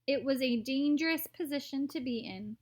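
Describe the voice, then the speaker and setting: A woman reading a children's story aloud in an expressive, theatrical narrating style. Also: high voice, moderate pace.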